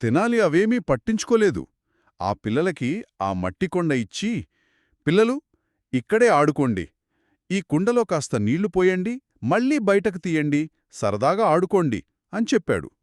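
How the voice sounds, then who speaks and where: A man telling a children's story in a conversational, expressive and explanatory way, as one of two narrators. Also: high-pitched, moderate pace, long pauses, no echo, studio quality. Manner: expressive, explanatory, acts out characters' quoted lines.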